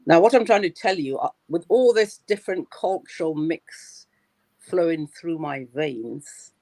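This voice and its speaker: Gravelly, assertive woman in her sixties. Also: on the low side, animated, a little slow, warm tone, dry recording. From Britain. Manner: firm and assertive. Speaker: lawyer on a discussion panel. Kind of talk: panel discussion.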